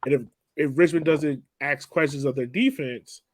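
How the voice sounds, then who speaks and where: A man with a slightly high voice talks as a podcast co-host, in casual sports analysis. The sound is very dry, with no room around it, and his delivery is conversational and loose. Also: moderate pace, no room sound. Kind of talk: casual soccer post-game chat.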